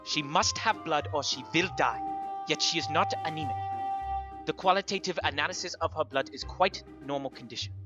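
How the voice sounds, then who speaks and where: An actor playing a grave, cautious old foreign professor in a radio horror drama, with halting, emphatic phrasing. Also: male voice, fairly high pitch, muffled recording, lightly acted. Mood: grave, troubled. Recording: loud music behind the voice.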